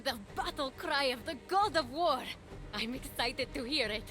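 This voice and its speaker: High-voiced plucky young hero. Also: female voice, expressive, moderate pace, bright, forceful tone. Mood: curious, eager. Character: plucky, encouraging young female adventurer hero. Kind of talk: video game character acting.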